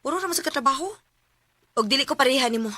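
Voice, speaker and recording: A woman's voice: sits high, animated, racing, bright, forceful tone, dry recording. A woman acting in a radio drama, carefree and defiant in manner.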